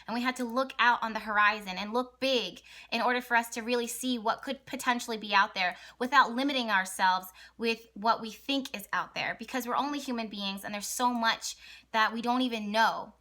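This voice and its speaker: A young adult woman hosting an astrology video channel, hoarse and raspy today from a partly lost voice, talking in an excited, rambling way with many fillers and restarts. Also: a little high, brisk. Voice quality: raspy, voice cracks at times. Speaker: astrology vlogger and channel host. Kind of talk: casual astrology talk to camera.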